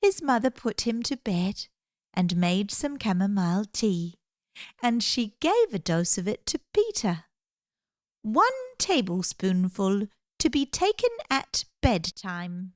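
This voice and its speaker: A woman reading a children's story aloud in an expressive, gentle, deliberate storytelling manner. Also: mid-range voice, no echo, clean studio sound.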